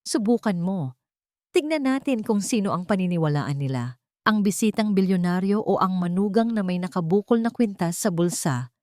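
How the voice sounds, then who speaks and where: A woman's voice: medium pitch, expressive, moderate pace, darkish tone, no echo, clean studio sound, lightly acted. A woman voicing a cool, composed wronged billionaire woman in a story, cold and cutting. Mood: taunting.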